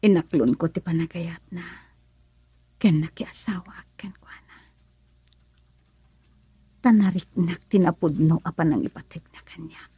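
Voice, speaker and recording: A woman's voice: lowish voice, measured pace, long pauses, dark tone, husky, no room sound. An actress with an Ilocano accent playing an emotional young married woman in a radio drama. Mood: hushed, reflective.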